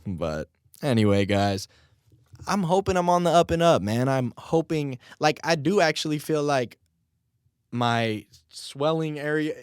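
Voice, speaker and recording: A man's voice: medium pitch, clear, resonant tone, dry recording, clean studio sound. A young man hosting his own podcast in a rambling, casual way, swearing and laughing at himself, his voice still a little strained. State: voice recovering, slightly strained. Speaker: podcast host and rapper. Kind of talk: casual solo podcast chat.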